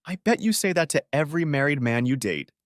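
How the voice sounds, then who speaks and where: A man's voice: on the high side, very animated, fairly quick, no room sound, studio quality, pitched above the performer's own voice. A man voicing a polite, understated, neglected husband in a narrated story. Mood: wry. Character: quiet, neglected married man on holiday.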